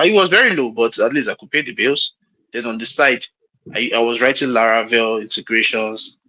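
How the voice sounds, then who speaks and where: A Nigerian-accented man in his late thirties talks frankly over a call, circling back and restarting as he gives advice. His voice has a bright, forceful edge. The audio is a little dull and lo-fi. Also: mid-range voice, breathy edge. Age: late 30s. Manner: frank, rambling, repeats himself with restarts. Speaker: mentor hosting a call.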